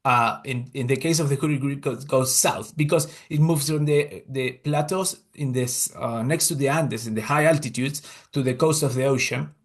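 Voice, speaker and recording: A man with an Argentine Spanish accent presents over a video call, his voice gravelly with fry at mid pitch. He speaks English as a second language and stumbles through fillers and repeated starts as he explains his work. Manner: halting, many fillers and restarts. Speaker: guest speaker, conservation scientist. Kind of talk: webinar presentation. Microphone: computer mic on a video call.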